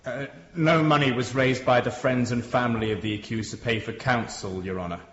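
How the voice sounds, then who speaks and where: A man's voice: mid-range voice, steady tone, a little fast, fairly bright tone, natural voice. A male actor plays a deferential Victorian barrister in a radio drama. His mid-range voice is formal and careful, slightly muffled in an echoing room. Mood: deferential, uneasy. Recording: echoey courtroom, slightly muffled.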